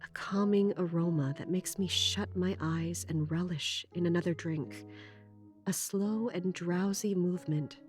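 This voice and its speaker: An actor playing a weary, reflective character seeking a quiet life, in a calm first-person audio drama monologue over music. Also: male voice, high voice, a little slow. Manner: calm, drowsy, contemplative.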